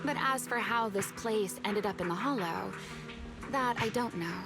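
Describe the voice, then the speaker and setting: A woman voicing a poised, teasing, knowledgeable guide in a video game, speaking in an unhurried, refined way. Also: medium pitch, natural voice. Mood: wistful, explanatory. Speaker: game character. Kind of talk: voiced game dialogue. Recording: loud game music behind.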